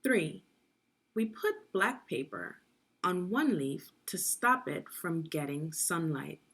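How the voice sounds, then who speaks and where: A woman's voice: lowish voice, big pitch swings, takes it slowly, fairly bright tone, slightly rough. A woman leading a guided read-aloud of a children's science book, teaching and prompting young listeners with questions.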